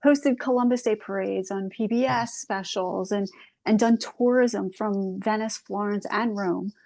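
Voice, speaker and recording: Woman, podcast host, mid pitch, gravelly edges, friendly questioning. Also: steady tone, darkish tone. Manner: friendly questioning, quick back-channel affirmations. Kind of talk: casual interview chat.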